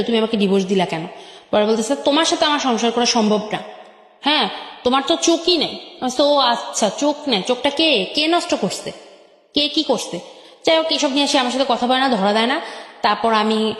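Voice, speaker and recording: A young woman with a Bangladeshi Bengali accent and an electronically altered voice, telling her life story emphatically and repeating phrases. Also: a little high. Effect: voice changer on the voice. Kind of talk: personal storytelling on a radio show. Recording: echoey with long reverb.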